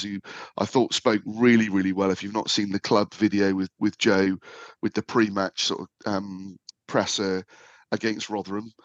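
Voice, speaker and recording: A man with a low voice talks football in a relaxed podcast chat. He fills his sentences with "um" and restarts. The sound is dry and close. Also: dry recording, muffled recording. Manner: chatty, many fillers and restarts. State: slight man flu. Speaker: podcast co-host.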